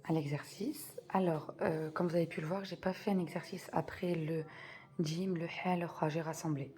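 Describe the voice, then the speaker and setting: A woman's voice: low-pitched, steady tone, moderate pace, warm tone. A woman teaching a beginners' reading lesson, patiently spelling out sounds step by step and repeating key words. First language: French.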